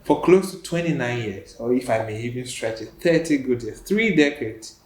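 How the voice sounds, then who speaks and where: Measured male interview host. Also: medium pitch, lively intonation, slight background noise. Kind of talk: conversational interview.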